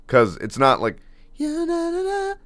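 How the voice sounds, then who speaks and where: A man with a deep baritone voice reacting casually to a song, laughing often and rambling with fillers and restarts. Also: huge pitch swings, a little slow, fairly bright tone, no room sound. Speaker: music reaction host.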